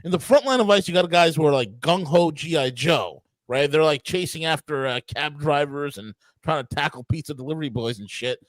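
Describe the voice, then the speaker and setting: A man's voice: a little high, fairly quick, few pauses, no room sound, rough recording. A man with a New York accent arguing emphatically on a podcast, often restarting and stammering in exasperation. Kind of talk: heated podcast debate.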